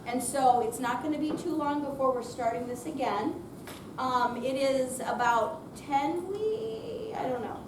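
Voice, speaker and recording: A woman presenter speaking loudly and chattily off the cuff to a live audience, introducing a theater program. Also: high voice, expressive, unhurried. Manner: loud, projecting, chatty. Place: senior center room, unamplified, before an audience. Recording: distant mic, echoey room, noisy.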